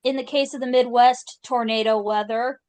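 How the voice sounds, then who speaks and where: A woman's voice: on the high side, fairly even intonation, moderate pace, darkish tone, no echo. A woman co-hosting a casual podcast chat in an upbeat, chatty way. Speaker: podcast co-host.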